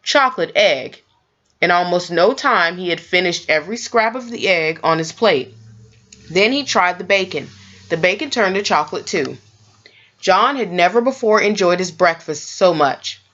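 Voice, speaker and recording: A woman reading a children's book aloud in an expressive storytelling style, with scripted narration. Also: on the low side, lo-fi sound.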